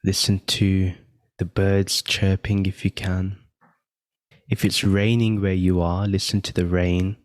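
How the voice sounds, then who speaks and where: Slow, low-voiced man guiding meditation. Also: level tone, no room sound. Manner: gentle step-by-step instructing, with long pauses between phrases. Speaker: meditation guide and podcast host. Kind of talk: guided meditation.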